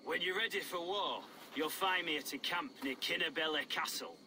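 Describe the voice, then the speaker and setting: An actor playing a blunt, earthy Saxon rebel leader in a video game, plain-spoken and grimly determined. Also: male voice, a little high, normal speed, bright, forceful tone, slight background noise. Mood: scheming.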